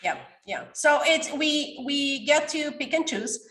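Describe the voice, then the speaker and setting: A woman's voice: sits high, animated, unhurried, fairly bright tone, reverberant. A woman in her forties from Romania, a coach talking animatedly in a podcast interview and sometimes searching for words. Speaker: guest, coach.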